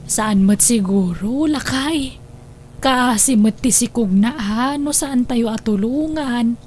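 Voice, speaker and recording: A woman's voice: a little high, slow pace, darkish tone, a little hiss or hum. A woman playing a practical, accepting mother in an audio drama, with an Ilocano accent and a motherly, concerned manner.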